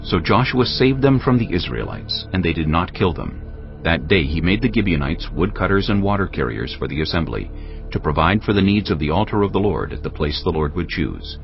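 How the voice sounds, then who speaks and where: Male scripture narrator, solemn and measured, with a deep, lively-pitched delivery over soft music. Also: creaky, dull audio. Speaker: audio Bible narrator. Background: background music.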